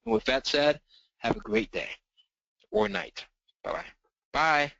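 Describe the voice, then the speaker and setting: A man's voice: on the high side, big pitch swings, measured pace, vocal fry, fairly bright tone, slight background noise. A man closing a live webinar, rambling and repeating himself as he thanks everyone. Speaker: webinar presenter. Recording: dull lo-fi webinar audio.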